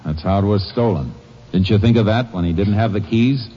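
An actor playing a firm Texas Ranger who also narrates, in a 1940s radio drama, on a dull old recording. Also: male voice, lowish voice, brisk, dark tone, husky. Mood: questioning. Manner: firm, clipped. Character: stern Texas Ranger lawman, man. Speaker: narrator and lead character.

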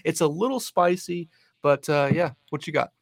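A man's voice: a little high, lively intonation, normal speed, gravelly, no echo. A man hosting a show and chatting casually with his guest in a jokey, upbeat interviewing manner.

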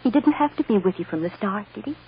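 Tender radio-drama mother, expressive, dull old recording. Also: mid-range voice, quick pace, breathy edge. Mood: earnest, reassuring. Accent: American. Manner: tender, reassuring, emotionally earnest. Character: loving mother gently soothing her young adopted daughter. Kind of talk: 1940s-50s American radio drama acting.

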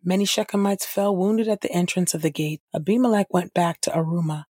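Husky woman reading scripture. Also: on the low side, a little fast, warm tone, no echo, clean studio sound. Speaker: scripture reader and podcast host. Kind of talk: scripted audio Bible reading.

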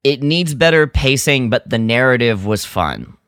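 A man's voice: medium pitch, animated, normal speed, a bit bright, smooth, no room sound, clean studio sound. A man co-hosting a podcast, chatting informally in a hesitant, thoughtful way. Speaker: podcast co-host. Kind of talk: casual podcast chat.

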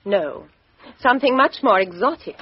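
A woman's voice: on the high side, lively intonation, normal speed, slight background noise, close to an everyday voice. An actress playing a cool, independent, sarcastic young British woman in a 1950s radio mystery, clipped and guarded. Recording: muffled 1950s radio drama recording.

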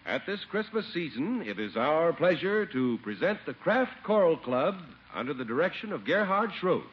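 An actor playing a formal, courteous master of ceremonies making an announcement in a 1950s radio show. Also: male voice, a little high, very animated, moderate pace. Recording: muffled old radio broadcast.

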